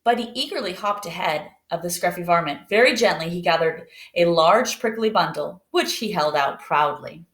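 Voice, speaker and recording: A woman's voice: on the low side, normal speed, hardly any pauses, a bit bright, echoey room. A woman teacher reading a picture book aloud expressively and putting questions to young listeners.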